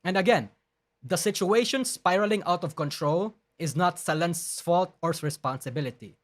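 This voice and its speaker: A male streamer with a voice a touch higher than most men's, arguing his points emphatically on a live broadcast. He trips over his words and starts sentences over, and he swears bluntly as he goes. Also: moderate pace. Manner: emphatic, argumentative, with frequent restarts; blunt and profane. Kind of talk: live-stream commentary reading and rebutting a document.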